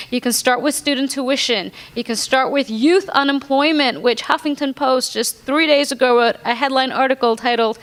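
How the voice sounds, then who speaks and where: A woman in her thirties who grew up in Indonesia, speaking as a panelist and explaining her points earnestly, with frequent fillers. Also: on the high side. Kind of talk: panel discussion answer. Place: conference hall.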